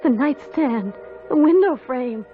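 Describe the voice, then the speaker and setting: A woman's voice: sits high, expressive, slow, faint music behind. An actress playing an earnest, guilt-ridden young servant girl in old-time radio drama, with a sensitive, dramatic delivery. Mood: haunted, solemn. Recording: muffled old radio broadcast.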